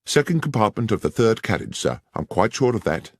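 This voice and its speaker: A man's voice: fairly low pitch, very animated, fairly quick, slightly rough, no room sound, studio quality, pitched above the performer's own voice, exaggerated voice. A man voicing a deferential rural station master. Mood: dutiful. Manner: plain, respectful, matter-of-fact. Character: middle-aged male railway station master, deferential.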